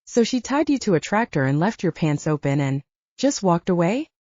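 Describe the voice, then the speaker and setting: A woman's voice: on the low side, very animated, normal speed, a little mellow, dry recording, dull audio. A woman voicing a commanding, exasperated older brother, an alpha werewolf, with a stern, patronizing manner in an audiobook. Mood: stern, weary.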